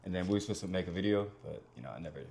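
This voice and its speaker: A man's voice: low-pitched, rapid-fire, vocal fry, darkish tone. A young man with a Vietnamese-tinged accent, chatting casually as a podcast guest in a laid-back, rambling way. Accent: Vietnamese-tinged English. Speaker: guest content creator.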